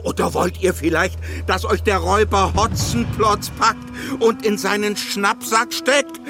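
A man's voice: sits high, steady tone, a little slow, over music. An actor playing a pompous, flustered village police sergeant in a children's radio play, tangling his own words. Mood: insistent.

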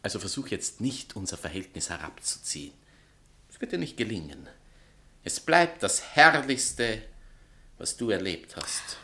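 A man's voice: fairly low pitch, sing-song, unhurried, sharp tone, heavily acted. An actor playing a vain, scornful male writer and spurned lover in a satirical stage comedy, pompous and declamatory. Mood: grandiose, reproachful. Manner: pompous, theatrical, declamatory, swinging from outbursts to sneers. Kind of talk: theatrical satirical comedy acting.

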